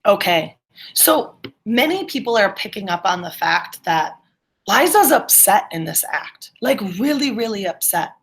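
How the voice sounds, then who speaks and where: A woman's voice: medium pitch, very animated, unhurried. A woman teacher leading an interactive online class, encouraging students and checking their understanding with questions. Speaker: middle school English teacher.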